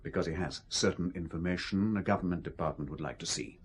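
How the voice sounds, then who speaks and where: A man's voice: sits low, fast talker, a little hiss or hum, natural voice. A man with a British accent playing a suave, coercive intelligence officer, condescending and coolly menacing, in an audio drama. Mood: cool, informative. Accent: British English.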